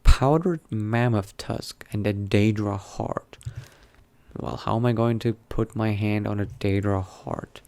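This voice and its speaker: A man doing an in-character game roleplay, reading aloud in a measured, bookish way and muttering asides to himself. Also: fairly low pitch, vocal fry, darkish tone. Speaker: roleplay gamer narrating in character.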